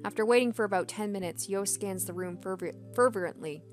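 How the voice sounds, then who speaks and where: A woman narrating a visual novel aloud as a let's player, expressive, with casual commentary. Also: mid-range voice, a little fast. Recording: background music under the voice. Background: game music.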